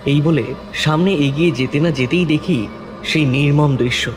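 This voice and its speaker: Male horror storyteller over loud music. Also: fairly high pitch, normal speed. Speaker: narrator. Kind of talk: dramatic first-person horror story narration.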